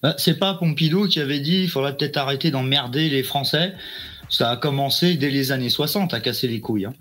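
A man's voice: medium pitch, fairly quick, fairly bright tone. A man in his forties talking casually on a live talk show, rambling and hesitant, with plenty of slang. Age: 40s. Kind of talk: casual chat.

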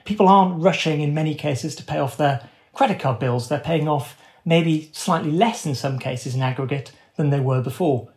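A man's voice: a little high, normal speed. A man giving conversational economic analysis as an interview guest, explaining in informal, nuanced phrasing.

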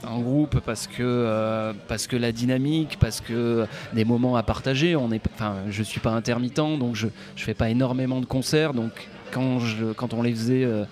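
A man, a guest musician, answering interview questions in a hesitant way full of pauses and restarts, at a folk club bar. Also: mid-range voice, fairly even intonation, a little mellow. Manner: hesitant, many fillers and restarts. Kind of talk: casual interview. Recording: live bar recording with crowd chatter. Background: crowd chatter.

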